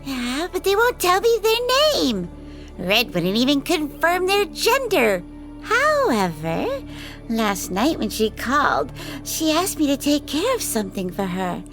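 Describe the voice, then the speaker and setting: A woman voicing a playful, flirtatious woman, a vampire's lover, in an audio drama, teasing and unhurried as she tells her stories. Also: sits high, wildly swooping pitch, fairly bright tone, exaggerated voice. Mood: teasing, confiding. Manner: teasing, unhurried storytelling with frequent laughter. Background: background music.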